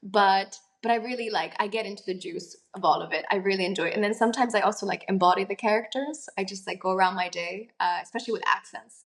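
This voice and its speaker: A woman's voice: mid-range voice, fast, few pauses. A young adult woman, an actress and singer, talking earnestly in a conversational interview, musing aloud with lots of fillers.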